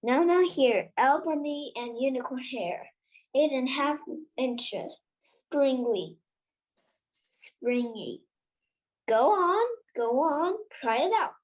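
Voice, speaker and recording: A child voicing an eccentric old wandmaker from a storybook, precise, formal and stern, with halting delivery. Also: high-pitched, animated, heavily acted, low-quality recording. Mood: absorbed, exacting.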